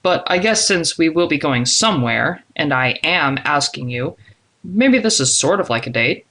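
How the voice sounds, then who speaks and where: Woman voicing a low, friendly, disarming young man. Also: normal speed, exaggerated voice. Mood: gentle, apologetic. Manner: calm, reassuring, casual. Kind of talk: audiobook character dialogue.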